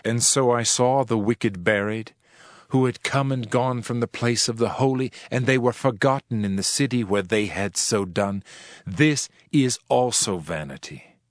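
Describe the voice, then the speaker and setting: A man playing a solemn, world-weary preacher in a dramatized scripture reading, with measured, archaic oratory. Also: medium pitch, dry recording, studio quality, lightly acted. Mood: solemn, reflective. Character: male preacher, solemn world-weary sage pondering wisdom and vanity. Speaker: narrator of a dramatized audio Bible. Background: music.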